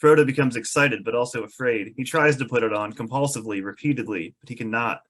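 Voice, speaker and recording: A man's voice: mid-range voice, fast, fairly bright tone. A man reading stage directions as narrator in a table read of a film script.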